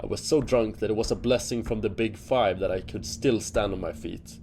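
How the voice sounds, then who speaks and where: Man as a low-voiced seafaring fantasy storyteller. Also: a little mellow, a little hiss or hum, close to an everyday voice. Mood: rueful storytelling. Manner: deliberate, theatrical storyteller. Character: Sealgair, self-deprecating male seafaring monster hunter telling tales. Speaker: character telling a campfire tale in an audio drama. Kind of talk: scripted dramatic storytelling. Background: music under the voice.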